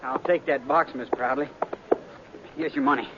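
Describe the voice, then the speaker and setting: An actor playing a shy, quiet young man in a 1950s radio drama, polite and stammering. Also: mid-range voice, moderate pace, creaky, close to an everyday voice. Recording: lo-fi old radio broadcast with background noise.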